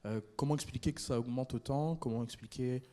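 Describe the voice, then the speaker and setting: A man's voice: medium pitch, fairly quick, dark tone. A man acting as student moderator in a university lecture hall, putting a hesitant question to the panel.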